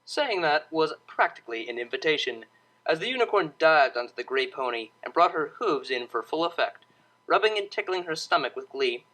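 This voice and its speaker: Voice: medium pitch, big pitch swings, moderate pace, sharp tone, rough recording. A narrator reading a piece of fiction aloud as scripted, dramatic audiobook storytelling.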